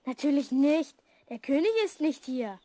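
A woman's voice: sits high, normal speed, dark tone, dry recording, lightly acted. A woman playing a lonely, imperious young princess in a children's audio drama.

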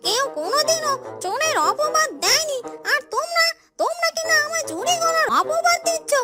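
A feisty young village maid, extremely high and childlike in pitch, scolding defiantly in cartoon style. Her delivery swings up and down with indignation, over loud background music. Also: expressive. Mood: indignant. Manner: scolding, defiant, rapid retorts. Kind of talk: Bengali cartoon dialogue.

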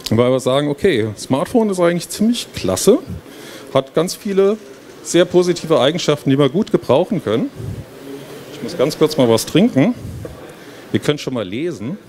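A man's voice: on the high side, darkish tone. A man giving an informal, explanatory presentation in a conversational way into a handheld microphone. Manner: conversational, explanatory, with rhetorical questions. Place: stage at a busy book fair hall, before a seated audience. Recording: noisy fair hall ambience.

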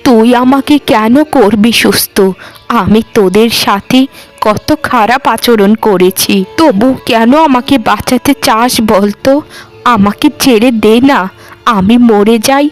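A woman's voice: a little high, measured pace, soft background music, pitched below the performer's own voice, lightly acted. A woman voicing an arrogant rich mistress in a cartoon, scornful and commanding. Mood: ailing, desperate. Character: haughty rich mistress, later sick and humbled. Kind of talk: cartoon voice acting.

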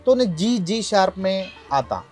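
Male craftsman interviewee, distinctly high voice, Hyderabadi accent, plain explanatory talk, music in the background. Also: sing-song, moderate pace, a little mellow. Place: small instrument workshop.

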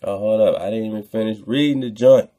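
Male radio host, very deep pitch, dark relaxed tone, slangy, freewheeling commentary, repetitive emphatic phrasing. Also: brisk, lo-fi sound. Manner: repeats phrases for emphasis, slangy direct address to listeners. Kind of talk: casual freewheeling commentary on texts read aloud.

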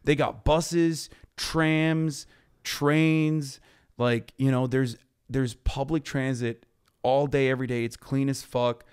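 A man in his thirties from Turkey, a podcast guest ranting about politics in a blunt, profane way in a studio on a boom mic. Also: steady tone, darkish tone, clear, resonant tone. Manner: blunt, profane, rapid explaining. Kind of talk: heated political chat. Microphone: boom mic on stand.

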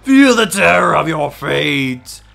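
Man acting as evil chest demon, bumbling video game villain, loud, overdramatic, gloating, creaky edge. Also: fairly high pitch, big pitch swings, slow pace, heavily acted. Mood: gloating, theatrical.

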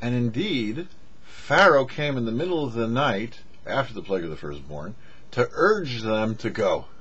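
Husky middle-aged man preaching with lively pitch. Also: normal speed. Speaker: rabbi, show host. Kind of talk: scripted religious teaching to camera. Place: small indoor studio with cloth backdrop. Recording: dull, muffled lo-fi audio.

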